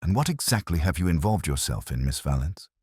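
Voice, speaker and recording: A man acts out a wary Victorian police inspector for an audiobook. He is gruff and curt, very deep in pitch, with stern, punchy swings in the delivery. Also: very animated, brisk, darkish tone, dry recording, studio quality, exaggerated voice.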